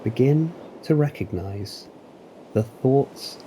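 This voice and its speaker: A man narrating a guided meditation in a calm, deliberate, soothing manner with long pauses. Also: medium pitch, slight background noise, low-quality recording. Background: waves.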